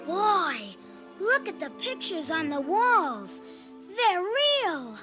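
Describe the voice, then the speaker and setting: An actor playing an eager, bold young boy in a vintage radio drama, with a high childlike voice and an excited, confident manner. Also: very slow, faint music behind, full character voice.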